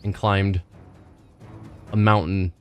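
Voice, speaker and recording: Let's play host, man, low voice, thinks aloud with hesitant, casual commentary; faint game music. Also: flat delivery, takes it slowly.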